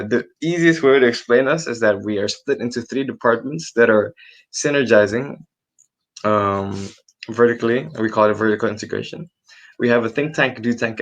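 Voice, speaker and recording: A man's voice: lowish voice, vocal fry, rough recording. A man from Indonesia, a research assistant, giving a casual online presentation with many ums and restarts over a computer mic. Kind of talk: presenting a webinar talk.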